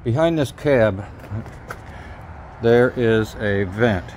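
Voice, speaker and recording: A man's voice: medium pitch, lively intonation, very slow, darkish tone. A middle-aged man giving a casual, hands-on how-to in a folksy, hesitant, unscripted way. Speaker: DIY video maker. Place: outdoors at an open truck cab. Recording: clear outdoor ambient noise.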